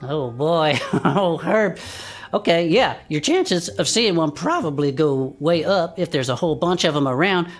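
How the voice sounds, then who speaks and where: A woman playing an excitable former adventurer hosting an audio drama show, with a folksy Southern US accent and theatrical, chatty delivery. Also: low-pitched, unhurried, faint music behind. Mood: amused, wary.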